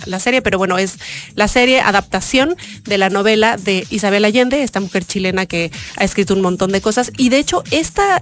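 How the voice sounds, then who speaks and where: A chatty woman co-hosting a radio talk show, enthusiastically recommending TV series. She talks in a casual, rambling way, repeating words as she hunts for the next one. Her pitch sits in the middle range, with faint music under her voice. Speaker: radio co-host.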